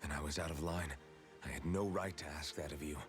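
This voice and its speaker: An actor playing a guilt-ridden, earnest young fantasy warrior in a video game, speaking in a solemn, measured way. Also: male voice, very deep voice, fairly even intonation, soft background music. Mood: troubled. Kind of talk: dramatic video game voice acting.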